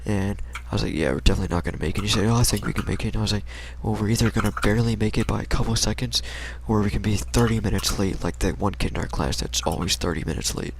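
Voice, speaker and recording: A young American man with a low-pitched voice full of vocal fry. He tells an everyday story casually, in slangy, halting fragments that ramble along. There is a faint layer of noise behind him. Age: young adult. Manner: rambling, slangy, hesitant delivery.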